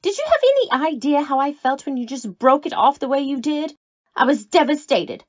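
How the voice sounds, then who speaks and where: Actress as a lively-pitched, lovelorn scorned woman. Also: normal speed, dry recording, muffled recording. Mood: anguished, bitter. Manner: coy, defensive, emotional. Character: nervous, lovelorn scorned woman hiding guilt. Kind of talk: old-time radio drama acting.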